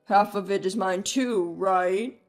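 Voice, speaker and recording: Selfish, condescending husband for a story drama, voiced by a woman, lowered pitch, curt delivery. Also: slow pace, soft background music, lightly acted. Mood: irritated, condescending. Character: domineering, dismissive, selfish husband, adult man. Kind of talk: acted dialogue in a narrated family drama story.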